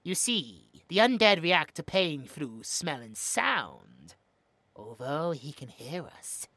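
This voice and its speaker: A man's voice: on the high side, sharp tone, dry recording, full character voice. A British man playing a smug, cruel mad scientist in a horror audio roleplay, with a mocking, snobbish manner. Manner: mocking, snobbish, drawn-out musing.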